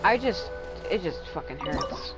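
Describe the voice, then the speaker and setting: A woman's voice: lowish voice, animated, measured pace. A woman giving casual, rambling let's-play commentary, candid and given to swearing. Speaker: gameplay streamer. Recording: game music playing behind the voice.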